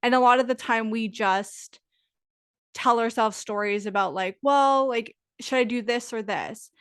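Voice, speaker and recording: A woman's voice: fairly high pitch, measured pace, fairly bright tone, clear, resonant tone, dry recording, clean studio sound. A woman hosting a podcast, musing in a reflective, enthusiastic way. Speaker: podcast host. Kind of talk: casual conversational interview.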